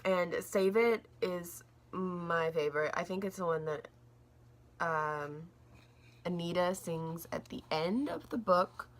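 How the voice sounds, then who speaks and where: A young woman reviewing a book in a casual, rambling vlog, her throat a little scratchy and gravelly, with lots of fillers and restarts. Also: fairly low pitch, slow pace. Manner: rambling, says like a lot, restarts often.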